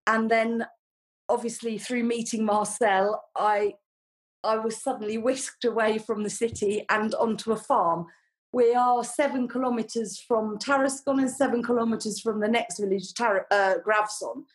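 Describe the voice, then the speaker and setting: A woman's voice: a little high, steady tone, normal speed. A middle-aged woman, a flower farmer, chatting as a podcast guest in a friendly way, with lots of ums and small laughs. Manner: chatty and warm, with frequent ums and light laughs. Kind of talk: casual interview chat.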